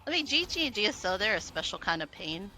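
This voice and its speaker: A woman's voice: mid-range voice, very animated, fast, sharp tone, poor audio. A woman co-commentating a video game tournament stream from home, upbeat and chatty. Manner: upbeat, chatty, laughs easily. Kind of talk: casual livestream chat.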